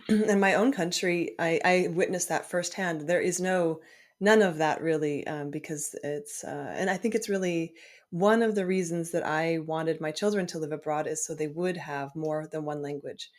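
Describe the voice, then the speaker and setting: A woman hosting a podcast, talking thoughtfully with pauses and fillers. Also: low voice. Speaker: podcast host. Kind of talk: conversational interview.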